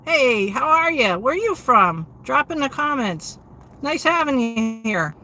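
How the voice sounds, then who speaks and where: A woman, hosting a casual livestream and reading viewer comments in a steady, low-inflection way with frequent ums. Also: a little slow, slight background noise, rough recording. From New England. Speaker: livestream host.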